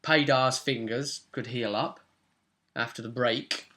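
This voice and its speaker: A man's voice: mid-range voice, fairly even intonation, takes it slowly, pushed and bright. A young man, a game master, casually recapping a tabletop roleplay session at home. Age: young adult. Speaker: game master and vidcast host. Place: small room at home, indoors.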